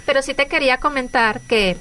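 A woman's voice: high-pitched, fairly quick, sharp tone. A woman with a Venezuelan accent hosting a radio conversation. She talks in an earnest, chatty way and restarts her phrases often. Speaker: radio host. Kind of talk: conversational interview.

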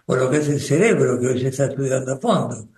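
An older man with an Argentine Spanish accent speaks slowly and thoughtfully, with pauses and restarts, as he recalls his past in a radio conversation. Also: medium pitch, animated, darkish tone, roomy sound. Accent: Rioplatense Spanish. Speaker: spiritual group coordinator and radio guest.

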